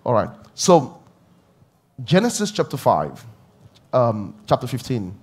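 A man's voice: medium pitch, wildly swooping pitch, takes it slowly, husky. A middle-aged male pastor preaching a conversational sermon, repeating key phrases and rising in emphatic bursts.

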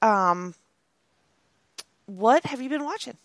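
A woman's voice: on the high side, animated, takes it slowly, a bit bright, no room sound, rough recording. A woman in her thirties chatting casually as a podcast co-host. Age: 30s. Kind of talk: casual chat.

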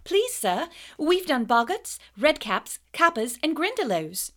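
A woman voicing an eager, know-it-all schoolgirl of about thirteen who speaks quickly and keenly. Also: high-pitched, very animated, pitched above the performer's own voice. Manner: quick, keen and pleading.

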